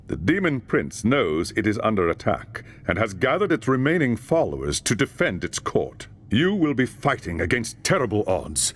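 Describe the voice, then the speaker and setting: A man playing a solemn, commanding loremaster in a fantasy video game, giving grave and urgent counsel in measured phrases. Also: on the low side, a bit bright, heavily acted. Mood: solemn warning. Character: grave, commanding male high lore master mage. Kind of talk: dramatic video game cutscene acting.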